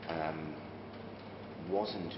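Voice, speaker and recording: A man's voice: rumbling low, steady tone, extremely slow. A man giving a casual interview as an actor, speaking in a hesitant, thoughtful way and rephrasing as he goes. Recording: dull, very noisy, echoey room recording.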